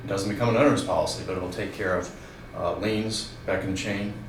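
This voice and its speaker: Deep-voiced, quick-talking man answering as expert. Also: steady tone. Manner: matter-of-fact, concise confirmations and corrections. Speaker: expert co-presenter. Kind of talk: informal expert answers at a seminar. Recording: echoey room with clear background noise.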